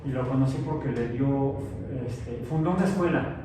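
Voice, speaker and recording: A man's voice: mid-range voice, moderate pace. A man in his sixties, a science teacher, chats loosely with his students and wanders from one anecdote to the next, stopping and restarting mid-sentence. He sits far from the mic in a very echoey classroom with noise behind him. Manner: rambling, anecdotal, many fillers and restarts. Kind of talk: casual chat with students before class. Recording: very echoey room, distant mic, background noise.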